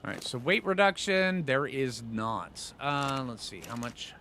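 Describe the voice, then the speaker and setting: Lively, bright-voiced man commenting on gameplay. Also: medium pitch, measured pace, a little hiss or hum. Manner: informal slangy phrasing, rambling asides. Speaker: gaming channel host. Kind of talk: casual gameplay commentary, thinking aloud.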